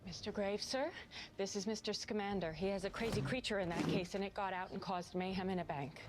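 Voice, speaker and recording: An actress playing an officious young American witch investigator in a cartoon game, brisk, insistent and by the book. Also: medium pitch, fairly even intonation, over music, lightly acted. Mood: indignant. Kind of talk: animated game cut-scene acting.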